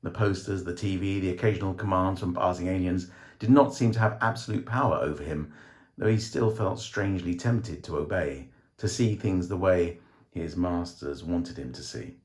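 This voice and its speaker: A man reading a short story as dramatic scripted narration, with theatrical, measured phrasing. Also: low-pitched, a little mellow. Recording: roomy, echoing voice recording.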